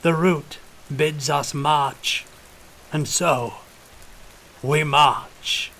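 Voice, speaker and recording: A man's voice: a little high, fairly even intonation, very slow, a bit bright, a little hiss or hum, voice pushed up, heavily acted. A man voicing a calculating, fanatical old regiment officer, wheezy and intoning like a preacher, in an audiobook. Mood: fervent. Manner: intoning, preacherly, rustic archaic turns of speech.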